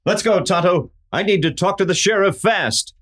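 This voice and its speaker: A man's voice: high voice, lively intonation, normal speed, fairly bright tone, a little hiss or hum. A man playing a resolute, upright masked hero in a western radio play, earnest and commanding. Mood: urgent.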